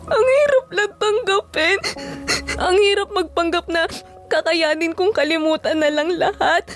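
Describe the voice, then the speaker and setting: A woman's voice: very high-pitched, a bit bright. A woman with a Filipino accent acting a heartbroken young woman in an audio drama. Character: heartbroken young woman betrayed by her boyfriend.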